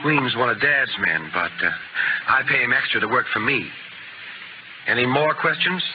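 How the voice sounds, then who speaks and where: A man's voice: mid-range voice, animated, normal speed, lightly acted. Cocky young villain male actor, radio western; swinging, taunting delivery, noisy dull vintage recording. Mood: cautious menace. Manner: taunting, drawling threats. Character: cocky young rancher's son, cold menacing gunman. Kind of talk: 1950s radio western acting.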